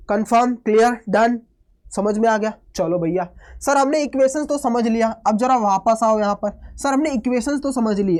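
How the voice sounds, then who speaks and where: सर्दी से बैठे गले वाला जोशीला शिक्षक. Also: male voice, high voice. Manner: energetic, keeps checking in with students; mixes Hindi with English phrases. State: hoarse from a cold. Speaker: teacher. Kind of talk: online exam-prep lesson.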